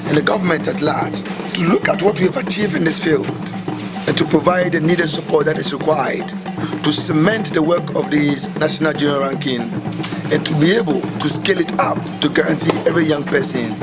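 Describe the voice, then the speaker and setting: A man, a tennis foundation official, giving an earnest, measured formal address. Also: on the high side. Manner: earnest, measured, speech-like delivery. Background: loud music.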